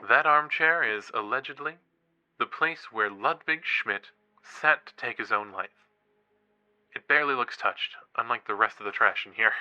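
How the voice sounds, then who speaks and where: An actor playing an eager young college true-crime podcaster in a horror audio drama, a teasing, suspenseful storyteller. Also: male voice, medium pitch, normal speed, bright, forceful tone, lo-fi sound. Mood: intrigued, teasing. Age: young adult.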